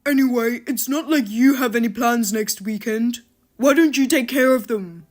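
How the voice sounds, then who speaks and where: A woman's voice: on the high side, moderate pace, faint music behind, natural voice. A woman voicing a touchy, out-of-work husband who answers curtly and defensively. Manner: defensive and curt.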